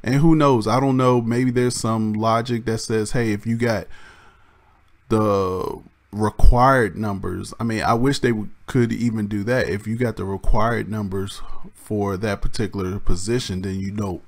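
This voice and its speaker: A man with a slightly raspy voice, casually explaining a game mode in a rambling way, with restarts and many ums. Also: on the low side, steady tone, unhurried. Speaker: gaming YouTuber.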